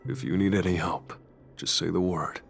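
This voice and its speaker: Chill gruff game dude, deep voice, low-key, music behind him. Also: male voice, fairly even intonation, normal speed. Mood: casual, concerned. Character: laid-back, gruff, helpful adult male guide. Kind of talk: video game voice acting, English dub. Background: background music.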